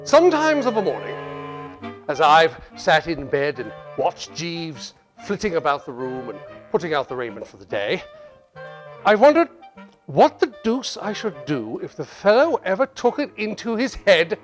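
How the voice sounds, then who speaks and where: A comic, idle English gentleman in a stage monologue, flustered and exclamatory. The voice is high and leaps about wildly, unhurried, over faint music. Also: huge pitch swings, low-quality recording. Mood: musing, worried. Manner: stammering, exclamatory, repeats words.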